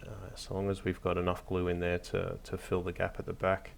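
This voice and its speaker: A man hosting a hobby tutorial, narrating casually off the cuff in a hesitant, low-key, encouraging way. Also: completely flat delivery, brisk, gravelly, a little hiss or hum. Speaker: hobby craft tutorial host.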